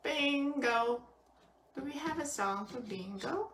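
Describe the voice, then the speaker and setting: A woman voicing a friendly, playful potato puppet in a children's puppet show, asking gentle, encouraging questions. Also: high voice, slow pace. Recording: roomy, distant microphone, clearly noisy home recording.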